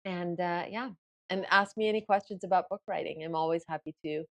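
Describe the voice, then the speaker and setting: A woman whose first language is Farsi, a guest in an interview, explaining her method in lively bursts and acting out inner thoughts. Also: lowish voice, fairly quick. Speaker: guest author and ghostwriting coach. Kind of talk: casual interview talk.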